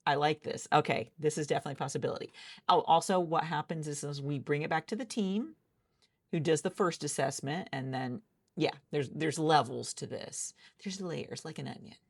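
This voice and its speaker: A woman improvising a conversational ASMR roleplay as a job interviewer, with halting phrasing and a gravelly creak. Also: low-pitched.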